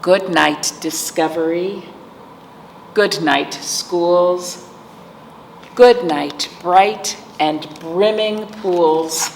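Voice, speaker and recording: A woman hosting a storytime for children, reading aloud and chatting in a gentle, playful manner to young listeners. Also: on the low side, extremely slow. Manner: gentle, playful, addressing young viewers as friends. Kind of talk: read-aloud and chat for children. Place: indoors, room with aquamarine wall. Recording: echoey room with clear background noise.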